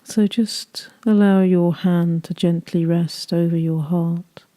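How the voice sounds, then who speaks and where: A woman leading a guided meditation, speaking gently in soothing, unhurried phrases that trail off softly. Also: lowish voice, fairly even intonation.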